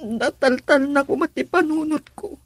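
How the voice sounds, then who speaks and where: High-voiced anguished young woman in radio drama. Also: normal speed, no echo. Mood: tearful, wounded. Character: anguished young woman caught in a love quarrel.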